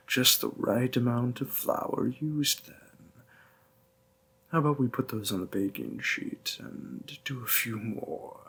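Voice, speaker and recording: A man playing a gentle, doting demon boyfriend in an intimate audio roleplay, speaking tenderly in a hushed, husky, creaky voice. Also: mid-range voice, exaggerated voice. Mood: playful, warm. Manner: slow, hushed, tender, with pauses.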